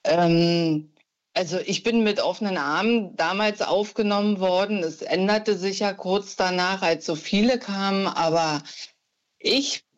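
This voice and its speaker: A woman's voice: mid-range voice, measured pace. A woman in her fifties from East Germany, calling in to a radio show and telling her story reflectively, with many fillers. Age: 50s. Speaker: radio caller. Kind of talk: phone-in call. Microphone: phone. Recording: lo-fi phone line.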